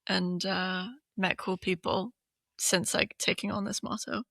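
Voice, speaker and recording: A woman in her thirties, from Hong Kong, talks casually on a podcast, leaning on fillers as she thinks aloud. Her voice has a gravelly edge but a bright, forward tone. The sound is dry and close. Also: mid-range voice, little pitch movement, a little slow, no room sound. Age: 30s. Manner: chatty, many fillers, laughs often. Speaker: podcast co-host. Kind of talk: casual chat.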